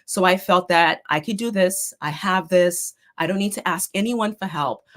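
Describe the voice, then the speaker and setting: A middle-aged woman, a therapist and life coach, giving a steady, earnest talk with a slightly hoarse voice. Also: on the low side, moderate pace. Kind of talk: prepared talk to an online group.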